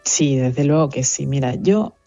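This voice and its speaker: A middle-aged woman with a Venezuelan accent, speaking thoughtfully as an interview guest, pausing with fillers as she reflects. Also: very deep voice, dark tone, lo-fi sound, soft background music. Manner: reflective, earnest, with fillers and restarts. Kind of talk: conversational interview.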